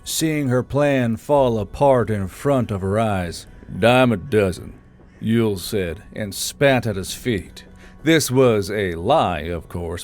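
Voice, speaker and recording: A man's voice: medium pitch, darkish tone. A man reading scripted fantasy fiction as an audiobook narrator. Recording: background music under the voice.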